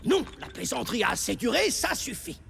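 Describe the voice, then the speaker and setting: A woman voicing a stern, wise village elder in a French cartoon, creaky-voiced, firm and admonishing. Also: on the high side, sing-song, brisk, a bit bright, soft background music, exaggerated voice. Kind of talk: French children's cartoon dubbing.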